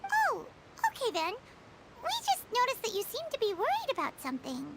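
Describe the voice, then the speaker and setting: A woman's voice: extremely high pitch, huge pitch swings, fairly quick, slight background noise, full character voice. A woman voicing a tiny, chatty, curious fairy companion who talks about herself in the third person, with game music underneath.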